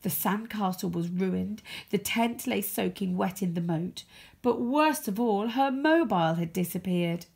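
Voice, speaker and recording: A woman reading a children's story aloud as a storyteller, expressive, with dramatic emphasis. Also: mid-range voice, measured pace, creaky, breathy edge.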